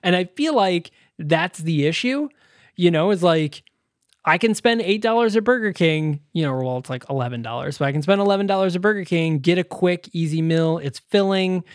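Higher-voiced man in casual, sweary chat. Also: animated, moderate pace, no room sound, studio quality. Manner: casually profane, self-deprecating. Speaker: podcast co-host.

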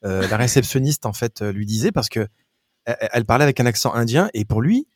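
A French man talking casually as a guest, telling anecdotes in a quick, animated way. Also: medium pitch, dry recording, clean studio sound. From France. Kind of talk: casual conversation.

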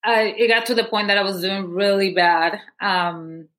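A woman's voice: mid-range voice, moderate pace, fairly bright tone. A young woman from Guatemala, a podcast guest candidly telling her life story with many fillers. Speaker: guest, movement founder. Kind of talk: personal story interview.

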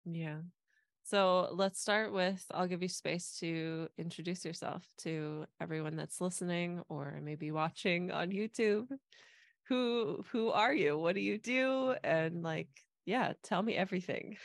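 A woman hosting a podcast, reading a smooth, measured intro and then chatting casually with her guest. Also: lowish voice, no room sound, clean studio sound. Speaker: podcast host.

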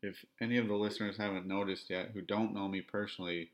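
A man from Ontario speaking in a podcast monologue, with a self-described monotone, flat delivery and measured, repetitive phrasing. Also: on the low side. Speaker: podcast host.

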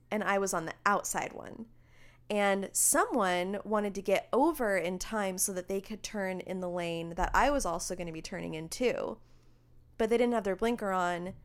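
A young woman co-hosting a casual talk show, chatty and quick as she tells her own stories, laughing easily. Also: mid-range voice, clean tone. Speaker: podcast co-host. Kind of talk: casual chat.

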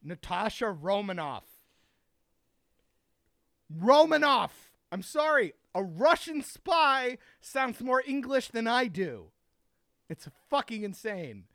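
A man's voice: extremely high pitch, very animated, slow pace, fairly bright tone, dry recording, studio quality. A man ranting heatedly and profanely in a casual chat among friends.

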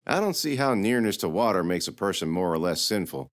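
A man voicing a defiant, sharp-tongued young girl with one arm, speaking in a cheeky, needling way. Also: deep voice, big pitch swings, a little fast, voice pushed up.

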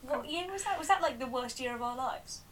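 A woman's voice: sits high, expressive, a little fast, fairly bright tone, a little hiss or hum. Giggly nasal young woman. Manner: giggly, playful banter. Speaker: podcast co-host. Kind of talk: casual chat.

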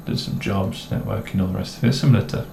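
A young adult man from the English Midlands with a mixed, somewhat posh English accent, co-hosting a casual chat in a relaxed, rambling way with self-mocking jokes. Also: low voice, fast talker, vocal fry. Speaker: co-host. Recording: echoey room with faint noise.

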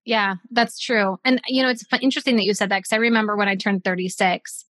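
Woman, 40s, mid-pitched, animated and thinking aloud, dry close recording. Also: fast, dry recording. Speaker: podcast co-host. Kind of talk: casual chat.

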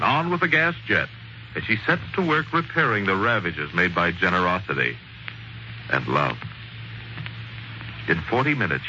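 A man narrating a 1940s radio drama in a theatrical, measured storytelling manner, on a muffled vintage broadcast recording. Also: sits low, pushed and bright, a little hiss or hum. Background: prominent music.

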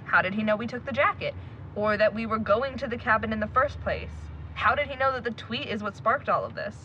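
A woman's voice: a little high, fairly quick, bright, forceful tone. A woman playing a blunt, suspicious young woman in a naturalistic audio drama. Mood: suspicious, guarded. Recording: dull recording with faint noise and ambience.

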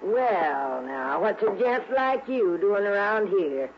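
An actress playing a gruff, nosy, fussy American rooming-house manager in a 1950s radio drama, brusque and folksy in her complaints. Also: medium pitch, sing-song, slow pace. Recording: muffled, noisy old radio recording.

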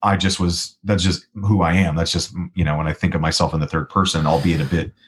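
A man with a naturally low baritone voice tells a winding story, stopping and restarting his sentences often. He is a guest musician in a casual interview. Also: brisk, husky. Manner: rambling, animated storyteller with many restarts.

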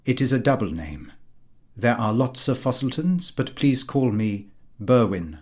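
A man's voice: mid-range voice, very animated, measured pace, a little mellow. A man voicing a self-important, affected young amateur actor with patronising manners, in a storybook reading. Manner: pompous, affected.

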